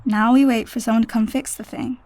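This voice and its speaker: A woman playing a plucky, casual teenage mutant girl in an audio drama, with a slightly creaky voice. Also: fairly high pitch, fairly even intonation, fairly quick, a little mellow, no room sound, natural voice.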